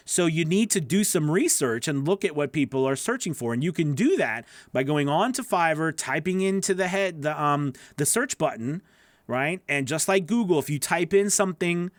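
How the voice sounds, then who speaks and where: A man answers viewer questions on a casual livestream, his voice sitting a little high. He rambles, restarts and leans on filler phrases. The sound is close and dry, with no room echo. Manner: rambling, self-correcting, lots of you know. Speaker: voice-over coach answering live questions.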